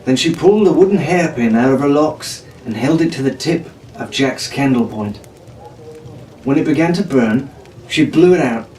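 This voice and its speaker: A man's voice: medium pitch, darkish tone, far from the mic. A man reading a storybook aloud as a dramatic narrator. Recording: roomy, off-mic, faint background noise.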